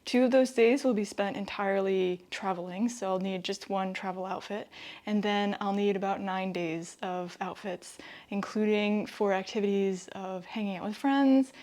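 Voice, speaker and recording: An American woman in her thirties from the East Coast, chatting casually and excitedly to viewers as a vlogger. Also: medium pitch, normal speed. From Connecticut, US East Coast. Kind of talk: casual vlog talk to viewers.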